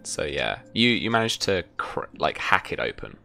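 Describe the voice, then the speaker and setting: A man's voice: sits low, sing-song, moderate pace, vocal fry, sharp tone. A young man running a tabletop roleplaying game, narrating scenes and rulings in a casual way. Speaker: game master. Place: indoor room with bookshelves, on a video call.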